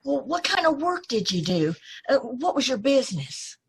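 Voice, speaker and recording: A woman's voice: high voice, very animated, moderate pace, creaky, slightly rough, heavily acted. A woman playing an earnest, anxious, polite young woman in an amateur old-time radio play. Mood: nervous, hesitant.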